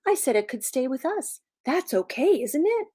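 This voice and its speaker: Woman putting on an earnest, high-pitched little boy. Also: sing-song, moderate pace, dark tone. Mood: pleading. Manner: earnest, pleading, emphatic. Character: caring, pleading seven-year-old boy in a storybook.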